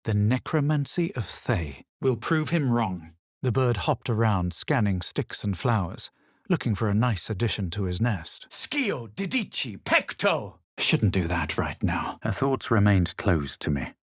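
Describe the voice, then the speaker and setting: An actor playing a haughty, coolly superior fantasy adventurer in a video game, with a husky voice and deliberate delivery. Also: male voice, on the low side, big pitch swings, no echo, clean studio sound, exaggerated voice. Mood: haughty, composed, then forceful spell casting. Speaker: video game player character. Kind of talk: scripted game voice lines, narration and remarks.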